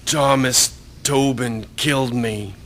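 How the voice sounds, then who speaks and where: Slow, dying man pleading for help, acted out. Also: medium pitch, steady tone, fairly bright tone. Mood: weak pleading. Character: badly beaten, dying drinker pleading for help. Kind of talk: historical radio drama acting.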